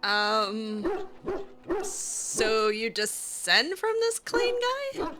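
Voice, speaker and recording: A woman's voice: on the high side, big pitch swings, very slow, over loud music. A woman improvising in character during a video game playthrough, chatty and hesitant with a cutesy touch. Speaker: roleplay gamer voicing her character.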